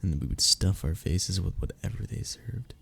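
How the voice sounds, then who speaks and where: A young man acting an affectionate, playful best friend in an intimate audio roleplay, soft-spoken and gently teasing, with a gravelly, husky voice. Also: low-pitched, animated, normal speed, heavily acted. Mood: relaxed, fond. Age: young adult.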